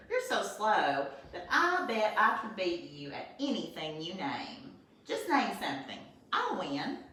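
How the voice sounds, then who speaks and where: An older woman, a first grade teacher, reading a storybook aloud to children with expressive asides, filmed at home on a phone. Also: on the low side, slight background noise. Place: home, with a small grandchild nearby. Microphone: phone camera held by her son. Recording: echoey room, voice from a distance.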